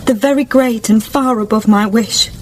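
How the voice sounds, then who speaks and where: An actress plays a humble, steadfast young working-class daughter in a radio drama. Her voice is high, and she speaks with heartfelt, respectful plainness over faint noise. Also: steady tone, normal speed, close to an everyday voice. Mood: tearful, heartfelt. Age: young adult.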